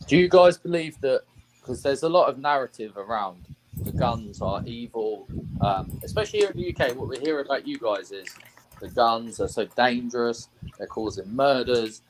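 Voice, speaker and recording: Man from England, live stream host, curious questioning chat, faint hiss behind, mid pitch. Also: animated, moderate pace, poor audio.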